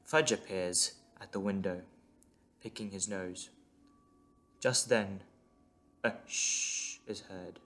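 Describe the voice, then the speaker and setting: A man's voice: low voice, slow, pauses often, a bit bright. A man reading scripted dramatic narration as the narrator of an audio drama. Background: music.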